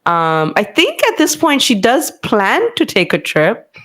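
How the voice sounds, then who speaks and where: A woman's voice: medium pitch, sing-song, a little slow, a bit bright. A woman casually recapping a movie plot for a podcast, chatty with many fillers. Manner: chatty, hesitant, lots of fillers. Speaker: podcast host.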